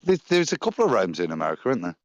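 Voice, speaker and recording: A lower-pitched man with a Nottingham English accent talks in a chatty, rambling way, his voice swinging widely up and down as he tells stories. The recording is dry and close, with no room sound. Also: huge pitch swings, very fast, no echo, lo-fi sound. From England. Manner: chatty storyteller with broad pitch swings and impressions. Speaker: panel guest. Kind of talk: casual chat on a live stream.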